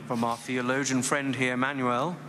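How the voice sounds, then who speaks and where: Guy doing live interpretation in halting phrases, big crowd noise behind. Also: medium pitch, level tone. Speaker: English interpreter. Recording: loud stadium crowd behind. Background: stadium crowd.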